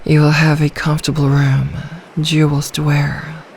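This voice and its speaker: A woman voicing a lonely, ancient female sea dragon in an intimate ASMR roleplay, soft, regal and possessive, doting with quiet menace. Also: deep voice, level tone, moderate pace, slight background noise.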